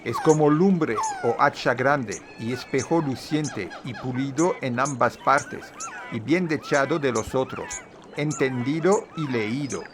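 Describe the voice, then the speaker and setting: Man, lively up-and-down pitch, hesitant fillers, home recording with clear background noise. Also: slow. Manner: hesitant, full of fillers like "este" and "pues"; says his own Spanish is a bit crooked. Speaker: video presenter on Nahua history. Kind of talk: informal lecture, partly read aloud.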